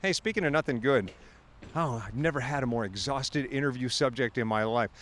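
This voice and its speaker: A man's voice: mid-range voice, a little fast, fairly bright tone. A man hosting an entertainment show, interviewing actors and talking to the camera with jokey enthusiasm. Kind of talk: upbeat interviewer banter.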